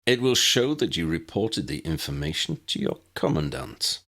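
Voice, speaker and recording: A man's voice: rumbling low, very animated, a bit bright, heavily acted. A man voicing a stern, weary warlock director in an audiobook, formal and dry with wry sarcasm. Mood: weary, wry authority. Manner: formal, dry, measured, sardonic.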